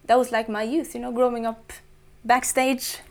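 A young Norwegian woman speaking English as a guest in a casual interview, her voice a little higher than most. She answers in friendly, short phrases, often pausing on an uh or um. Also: flat delivery. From Norway. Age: 20s. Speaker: guest musician.

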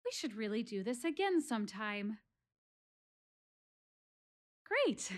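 Actress as searching, lonely woman in a solo stage play. High voice, confiding, conversational, earnest. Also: very animated, normal speed. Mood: encouraged, earnest. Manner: conversational, confiding, self-deprecating. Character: earnest, searching woman praying aloud, lonely, self-questioning.

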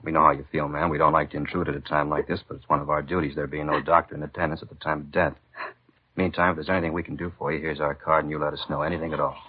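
An American police detective in an old radio play. His bass voice rattles through formal, courteous lines at a very fast clip. The sound is narrow and faintly noisy. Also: flat delivery. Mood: sympathetic. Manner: matter-of-fact, polite, official. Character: courteous, brisk, sympathetic police officer, detective's partner. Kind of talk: 1950s radio police drama acting. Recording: noisy, narrow-band 1950s radio recording.

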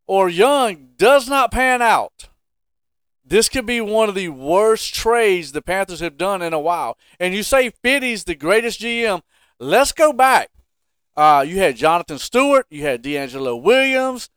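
An American man with a high, smooth voice hosts a casual sports podcast. He argues emphatically and leans on rhetorical questions to press his case. The sound is dry and close. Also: expressive, a little slow, a bit bright, no room sound. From Carolina, United States.

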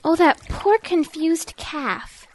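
A woman's voice: extremely high pitch, lively intonation, slow, a little hiss or hum, heavily acted, rough recording. A woman voicing a friendly, sensible young female duck in an audio drama, patiently explaining. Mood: gently advising.

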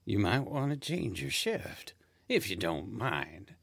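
Man playing a low, gravelly dying man. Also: very animated, a little hiss or hum, full character voice. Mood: calm, wistful. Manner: halting, wistful, gently wry. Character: weary, wry dying old man in hospice care. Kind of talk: audio play dialogue.

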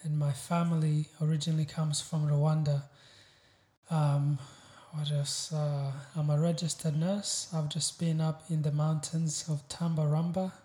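A man's voice: fairly high pitch, level tone, unhurried, mellow. A man with an East African accent, chatting casually as a guest on a podcast. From Uganda. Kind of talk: casual chat. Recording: roomy echo.

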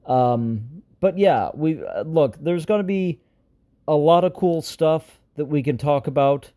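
Male podcast host, solo update, dark mellow voice with a slight strained rasp, rambling and self-deprecating. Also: on the high side, animated, a little slow.

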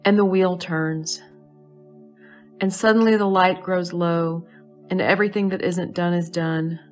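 Woman doing guided meditation, lowish voice, soothing n measured, pauses between phrases, faint music behind. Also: steady tone. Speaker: astrology advisor leading a guided meditation. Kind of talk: slow guided meditation narration.

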